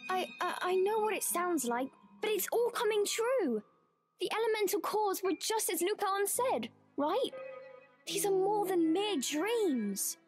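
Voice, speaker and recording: An earnest little witch girl of ten in a video game. The voice is high and swings widely in pitch. Music plays clearly behind it. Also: lively intonation, unhurried. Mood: flustered, defensive. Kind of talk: scripted video game dialogue.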